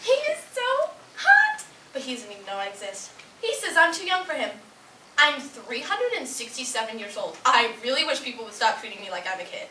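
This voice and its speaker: A woman's voice: a little high, huge pitch swings, a little fast, sharp tone, exaggerated voice. A woman playing a petulant young goddess in a comedic stage monologue, whining and exaggerating like a teenager. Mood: petulant gossiping. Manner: whiny, exaggerated teen-like storytelling. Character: petulant, bratty young goddess, ancient yet treated like a kid. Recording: distant, echoey room with faint noise.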